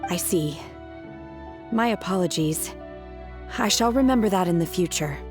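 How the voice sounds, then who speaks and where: Low, deadpan, aloof young woman acted. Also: moderate pace, lightly acted. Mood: earnest, calm. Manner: deadpan, formal, calm. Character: aloof, earnest, literal-minded young woman. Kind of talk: video game voice acting. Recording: game dialogue over background music.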